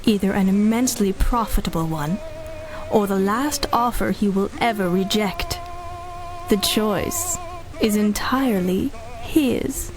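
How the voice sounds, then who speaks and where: A woman's voice: medium pitch, measured pace, over music, lightly acted, low-quality recording. Cool, menacing female agent played by an actress. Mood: cool menace. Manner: condescending, assured. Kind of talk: 1930s radio drama acting.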